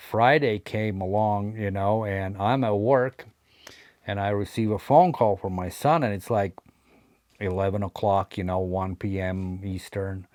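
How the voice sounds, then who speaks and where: A man's voice: on the low side, dry recording, clean studio sound. A man in his forties with a Latvian accent, casually telling a rambling story to his vlog audience, full of fillers and restarts. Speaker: vlogger, hat collector.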